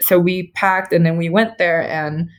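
A woman's voice: fairly low pitch, steady tone, a little slow. A young woman, an architect and interview guest, telling her story casually with many "like"s over a remote call.

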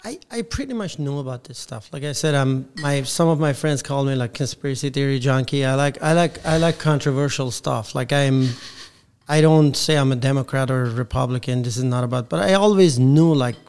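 A man's voice: mid-range voice, a little fast, darkish tone, clear, resonant tone. A man from Iran chatting informally as a podcast guest, in a loose, colloquial way. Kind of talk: casual chat.

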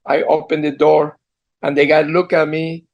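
An older man with a strong Argentine Spanish accent tells his life story on a video call. He speaks earnestly and stops and restarts his sentences often. His voice sits fairly high. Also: flat delivery, moderate pace, dull audio. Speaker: guest entrepreneur. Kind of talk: storytelling interview. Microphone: computer mic on a video call.

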